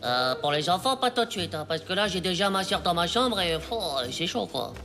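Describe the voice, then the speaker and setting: A woman's voice: on the low side, expressive, brisk. A woman voicing a cheeky, overconfident ten-year-old boy with slangy, dramatic delivery in a French cartoon. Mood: awkward.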